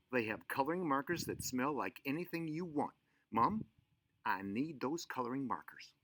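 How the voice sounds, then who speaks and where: A man's voice: medium pitch, a bit bright, no echo, heavily acted, poor audio. A man voicing a pleading, headstrong little girl in a children's story, whiny, insistent and emphatic.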